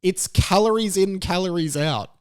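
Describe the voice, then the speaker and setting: A man's voice: high-pitched, normal speed, clear, resonant tone, no echo, studio quality. A young man with an Australian accent giving emphatic, exasperated, comically indignant commentary. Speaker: YouTube commentator. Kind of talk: ranting commentary on a news story.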